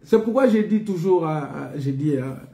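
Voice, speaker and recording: A man preaching a sermon in an emphatic, repetitive teaching manner, pausing on rhetorical questions. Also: on the high side, animated, vocal fry, mellow. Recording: echoey, reverberant room.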